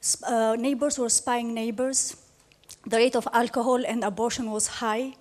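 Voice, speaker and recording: A woman's voice: a little high, fairly even intonation, moderate pace, a little mellow. A woman from Albania with an Albanian accent, speaking from the audience at a public debate and giving an earnest, emphatic testimony. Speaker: audience member.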